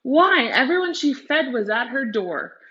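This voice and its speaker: A woman's voice: sits high, sing-song, unhurried, a bit bright, highs cut off. A woman teacher doing a read-aloud lesson for children.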